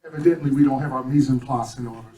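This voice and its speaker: A man's voice: mid-range voice, rapid-fire, background noise. Joking man emceeing a graduation. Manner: joking, self-correcting, then formal. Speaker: chef instructor. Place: event hall. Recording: echoey room from far off, audience behind.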